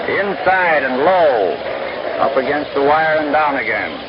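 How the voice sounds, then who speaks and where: An American man calling baseball play-by-play in a 1930s-40s radio style, with a folksy, wry, unhurried way of describing the action. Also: on the high side, big pitch swings. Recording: noisy, dull, scratchy old radio broadcast.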